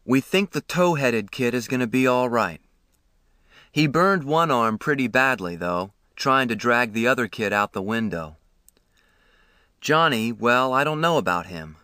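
A man doing a calm adult stranger. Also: medium pitch, measured pace, dry recording, clean studio sound. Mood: reassuring. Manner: calm, reassuring. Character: kind adult male stranger in an ambulance.

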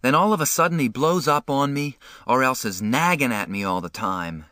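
A man's voice: medium pitch, lively intonation, moderate pace, sharp tone, no room sound, clean studio sound, pitched above the performer's own voice. A man reading an audiobook, voicing a sensitive, upset teenage boy who pleads and protests.

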